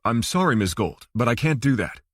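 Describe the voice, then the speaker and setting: A man's voice: mid-range voice, lively intonation, a little fast, fairly bright tone, no echo, studio quality. A man voicing a guarded, formal male banker who is politely firm, in an audiobook thriller.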